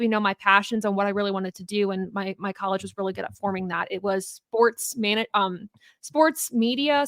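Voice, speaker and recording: A young woman speaks as a guest on an interview podcast, upbeat and chatty. She keeps dropping "like" into her sentences at an everyday mid pitch, and the recording is very dry. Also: fairly bright tone, dry recording. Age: young adult. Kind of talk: casual interview chat.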